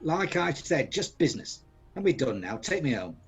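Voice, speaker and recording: A man's voice: fairly high pitch, sing-song, moderate pace, slightly rough, full character voice. A man acting a bitter, regretful old miser, haunted and pleading, in an amateur radio play. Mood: defensive, dismissive. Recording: dull home video-call recording, background noise.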